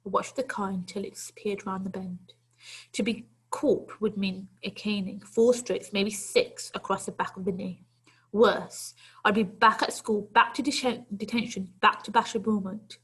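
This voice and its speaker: A woman's voice: medium pitch, steady tone, moderate pace, a bit bright, husky, low-quality recording. A woman teacher reading a children's story aloud to pupils, expressively and with dramatic, emphatic phrasing.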